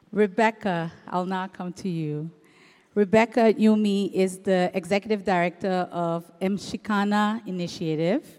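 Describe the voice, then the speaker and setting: A woman's voice: on the low side, darkish tone. A woman moderating a panel discussion, introducing speakers formally. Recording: reverberant hall.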